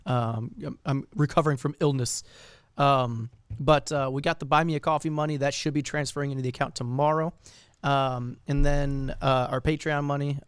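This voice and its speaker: A man co-hosting a podcast in casual chat, a bit raspy from illness, jokey and rambling with fillers and laughs. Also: mid-range voice, fairly even intonation, normal speed, no room sound, clean studio sound. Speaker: podcast co-host.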